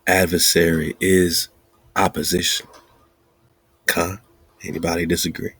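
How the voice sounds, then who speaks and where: A podcast host speaking in a slow, emphatic, repetitive preaching style with a husky voice. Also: male voice, sits low, flat delivery, fairly bright tone, no echo. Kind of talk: casual preaching-style monologue.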